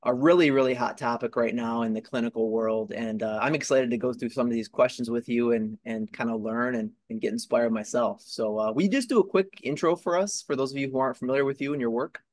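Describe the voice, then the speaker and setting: Man, interview host, eager, casual, middle pitch, dry sound. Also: steady tone, fast talker, hardly any pauses, darkish tone, no room sound. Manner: eager, casual, many fillers. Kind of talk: upbeat interview hosting.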